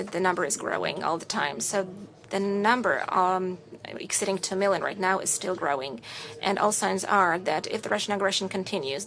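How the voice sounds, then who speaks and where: A woman interpreting a formal state speech into English with an even, measured delivery and occasional hesitations. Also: mid-range voice, gravelly.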